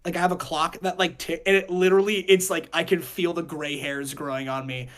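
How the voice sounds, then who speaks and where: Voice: mid-range voice, little pitch movement, brisk, fairly bright tone. Casual young podcast host, jokey. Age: young adult. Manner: jokey, repeats phrases. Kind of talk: casual group chat.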